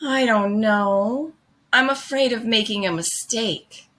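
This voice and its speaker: A woman's voice: a little high, slow pace, fairly bright tone. A woman voicing an easygoing young male cat artist from a children's storybook, with laid-back, slangy expressiveness. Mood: discouraged.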